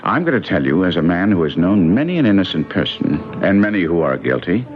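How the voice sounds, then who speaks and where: Deep-voiced, probing gentleman lawyer. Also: sing-song, a little hiss or hum. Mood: insistent. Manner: formal, deliberate, inquisitive. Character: probing, protective gentleman lawyer, narrating his tale. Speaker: actor and narrator in a radio mystery drama. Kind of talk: old-time radio drama acting. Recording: muffled vintage radio recording with music.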